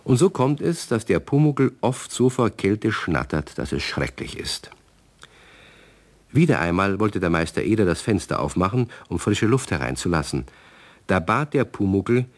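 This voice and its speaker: Deep-voiced man narrating a storybook. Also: big pitch swings, moderate pace. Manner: warm, speaks directly to children.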